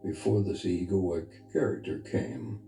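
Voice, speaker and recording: A man leading a guided meditation as a spiritual teacher, speaking in a soft, intimate, earnest and unhurried way. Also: deep voice, creaky. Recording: reverberant voice over background music. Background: music.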